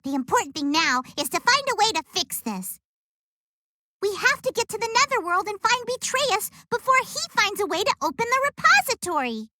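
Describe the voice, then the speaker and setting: A woman voicing a spirited, bossy young girl sidekick in an animated game, emphatic and earnest. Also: extremely high pitch, moderate pace, exaggerated voice. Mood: resolute. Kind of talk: animated game character acting.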